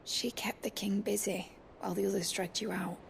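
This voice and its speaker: A woman's voice: mid-range voice, flat delivery, moderate pace, gravelly, slight background noise. A woman acting a cheeky, fiery young ship engineer in a video game, brash and slangy with a British accent. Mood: subdued.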